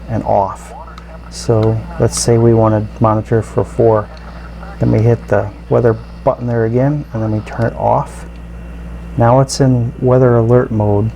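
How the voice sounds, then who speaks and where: Gravelly man giving a casual gadget demo. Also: mid-range voice, warm tone, slight background noise, low-quality recording. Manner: halting, informal, explaining step by step. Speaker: radio reviewer and video host. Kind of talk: casual hands-on product demonstration.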